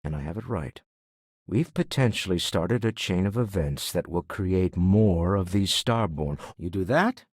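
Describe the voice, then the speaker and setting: An older male actor playing a shrewd, urbane businessman in a video game, raspy and articulate, with dryly formal, measured phrasing. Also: deep voice, animated, darkish tone, no echo, clean studio sound, exaggerated voice. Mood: intrigued, thoughtful. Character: shrewd, urbane businessman explorer, wry and principled. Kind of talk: video game character dialogue.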